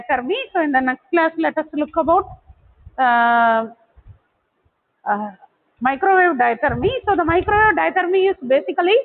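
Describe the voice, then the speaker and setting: Very high-voiced woman lecturer, phone-like sound. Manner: didactic, poses questions then answers them. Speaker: college lecturer. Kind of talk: explanatory technical lecture. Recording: thin, phone-like narrow-band audio.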